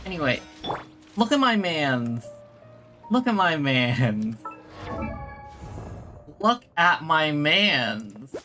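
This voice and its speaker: Casual live game commentary, bright voice, mid pitch, reactive, light music behind. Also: very animated, drawn-out words, long pauses. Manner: informal, reactive, reads game lines aloud. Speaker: video game streamer.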